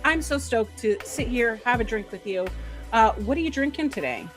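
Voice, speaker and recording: A woman with a New Jersey accent hosting a casual podcast over a video call, enthusiastic and quick to laugh. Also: a little high, expressive, music in the background. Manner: enthusiastic, laughing, slow and drawn-out. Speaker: podcast host. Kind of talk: casual chat.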